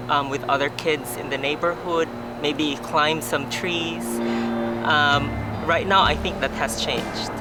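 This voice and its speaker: A speaker in their forties with a Filipino accent gives calm, measured interview answers. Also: medium pitch. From Philippines. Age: 40s. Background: loud background music.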